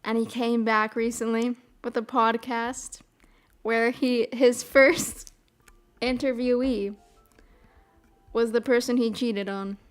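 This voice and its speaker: Bubbly young woman, mild Midwestern accent. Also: a little high. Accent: mild Midwestern American. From American South. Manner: bubbly, rambling, lots of "freaking" and "oh my God". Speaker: streamer. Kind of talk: casual chat. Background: soft piano music.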